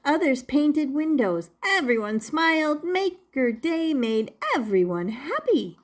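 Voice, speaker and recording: An older woman reading a children's story expressively, in a deliberate manner suited to young listeners. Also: fairly high pitch, darkish tone. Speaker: storybook reader.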